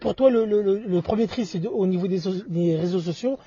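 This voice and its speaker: A man chatting casually in a hesitant, halting way, repeating words as he goes. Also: sits high, warm tone, breathy edge, lo-fi sound. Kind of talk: casual conversation.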